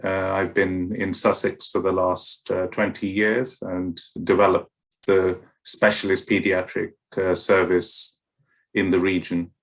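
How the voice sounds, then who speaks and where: Middle-aged male doctor, low-pitched, halting delivery with many ums and restarts. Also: flat delivery, fairly bright tone, rough recording. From Kenya and West London. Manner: hesitant, many fillers and restarts. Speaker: paediatric doctor. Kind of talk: interview answers to camera.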